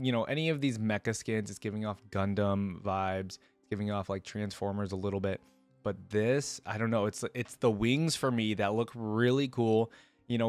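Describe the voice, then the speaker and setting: Male reaction host: low-pitched, informal and enthusiastic, loose unscripted commentary, very dry sound. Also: no echo. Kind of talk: casual off-the-cuff reaction commentary.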